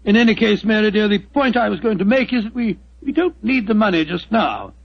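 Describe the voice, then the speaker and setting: A blustering old doctor. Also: male voice, high-pitched, very animated, fairly quick, gravelly, dry recording, heavily acted. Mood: flustered. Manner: blustery and stammering, chuckles often. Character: bumbling, genial older English doctor, fussy. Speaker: narrator of the tale within the play. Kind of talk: 1940s radio acting. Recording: dull 1940s radio recording.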